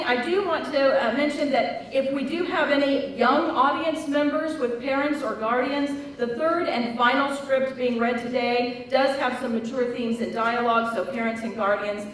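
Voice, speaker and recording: A woman's voice: high-pitched, moderate pace, few pauses. A woman hosting a live event, making announcements to open a screenplay reading. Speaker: event host. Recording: echoey hall, distant mic, faint noise.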